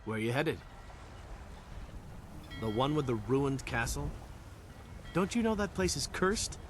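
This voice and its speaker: A man's voice: mid-range voice, sing-song, takes it slowly, slight background noise. An actor playing a blunt, grudging working boatman in a fantasy game. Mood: wary, grudging. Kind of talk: fantasy game character dialogue.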